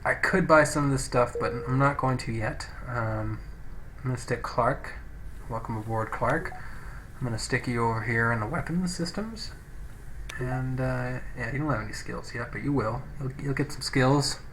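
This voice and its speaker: A man giving casual, sweary video game commentary as a let's play host, thinking out loud, recorded on a poor-quality microphone. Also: mid-range voice, moderate pace. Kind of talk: casual gameplay commentary with read-out game text. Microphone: low-quality home microphone. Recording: noisy cheap microphone, poor audio.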